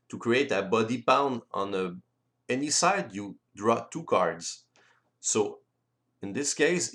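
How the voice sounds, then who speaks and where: Male game-rules presenter, native French speaker with French accent, explanatory delivery, slightly halting phrasing, mid-range voice. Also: mid-range voice. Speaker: board game designer and presenter. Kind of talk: explanatory tutorial voice-over.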